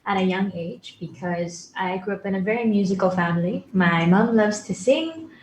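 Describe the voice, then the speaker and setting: A woman in her twenties from Brunei, a singer answering interview questions over a video call, polite and soft-spoken. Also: mid-range voice, moderate pace, echoey room, a little hiss or hum, rough recording. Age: 20s. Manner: polite, soft-spoken, addresses host as sir. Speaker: guest singer. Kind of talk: remote interview, casual.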